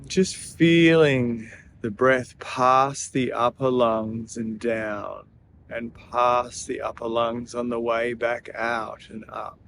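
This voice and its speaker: A man leading a guided breathing meditation in a soothing, gentle manner, leaving long pauses between phrases. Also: mid-range voice, steady tone, slight background noise.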